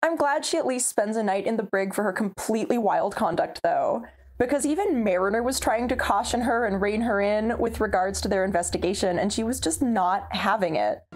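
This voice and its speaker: A woman's voice: medium pitch, a little fast, darkish tone. A young woman giving a scripted, opinionated review from home, talking wryly to the camera. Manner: opinionated, wry, conversational. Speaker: video reviewer. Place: home room with a starry backdrop.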